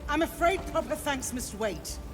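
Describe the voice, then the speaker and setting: An actress playing a stern, dutiful city councillor in a video game, speaking formally and gravely with urgent authority. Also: female voice, high-pitched, lively intonation, normal speed, over music. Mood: shaken, grateful. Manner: formal, commanding. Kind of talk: video game dialogue acting.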